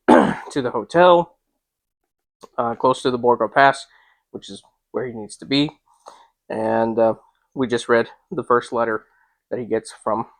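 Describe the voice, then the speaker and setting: A man reading and discussing a classic novel as host, in an informal, measured, slightly hesitant way. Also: mid-range voice, no echo. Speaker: reader and host. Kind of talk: dramatic literary reading with casual commentary.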